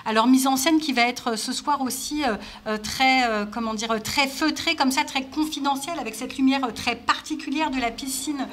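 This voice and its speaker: Higher-voiced woman commentating with many fillers. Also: normal speed, hardly any pauses, slight background noise. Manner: hesitant, many fillers, mock-sportscaster enthusiasm. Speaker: event host and commentator. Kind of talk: live playful commentary. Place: indoor swimming pool at night.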